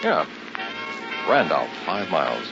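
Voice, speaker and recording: An actor playing an easygoing, reassuring young newlywed husband in an old-time radio drama. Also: male voice, sits low, big pitch swings, slow, creaky, full character voice. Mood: breezy. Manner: breezy, teasing. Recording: muffled vintage radio recording with music.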